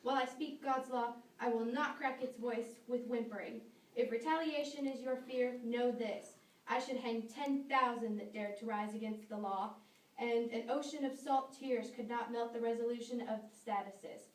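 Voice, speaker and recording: A distant, roomy recording of a woman as an unbending Puritan judge. The voice is fairly high and declaims with pompous command, as in an amateur stage drama. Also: steady tone, normal speed, natural voice. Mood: stern, resolute. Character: stern, unbending male judge in Puritan times. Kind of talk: amateur stage-play acting. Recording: echoey room, distant mic.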